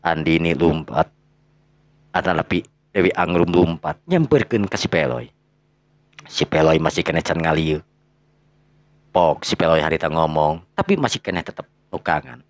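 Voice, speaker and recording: A man's voice: extremely low pitch, normal speed, pauses often, dry recording. A man with a Sundanese accent narrating a folktale dramatically, breaking phrases up for suspense. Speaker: storyteller.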